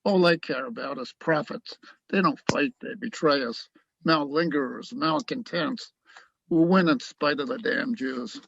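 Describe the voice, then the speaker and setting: A man playing a tipsy, cynical army doctor in a radio play, blunt and weary, with a gravelly, husky voice. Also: a little high, a little slow, a bit bright, dull audio, heavily acted. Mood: bitter, contemptuous. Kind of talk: dramatic radio play acting.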